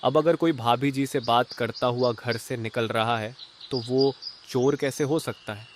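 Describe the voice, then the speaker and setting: A man's voice: mid-range voice, steady tone, a little slow, slight background noise, natural voice. A man voicing a chatty, forgetful and nosy neighbour in a cartoon, rambling through explanations. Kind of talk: Hindi cartoon voice acting.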